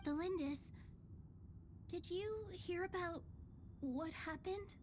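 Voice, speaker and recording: Very high, innocent little child. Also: female voice, slow, a little hiss or hum. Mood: curious, hesitant. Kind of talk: scripted game dialogue.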